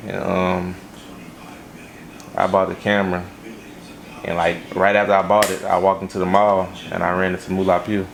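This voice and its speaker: A man's voice: sits low, flat delivery, normal speed, gravelly, pauses often, crowd noise. A young man from Los Angeles raised in Baton Rouge, a music video director answering interview questions in a laid-back way with lots of fillers. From Los Angeles, raised in Baton Rouge, Louisiana.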